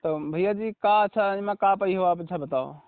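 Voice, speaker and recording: A man's voice: high voice, normal speed, no room sound. A man with a rural Uttar Pradesh, Awadhi-influenced accent, speaking defensively on a phone call in a hesitant, placating manner. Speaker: timber contractor. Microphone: phone line. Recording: tinny, narrowband recorded phone call.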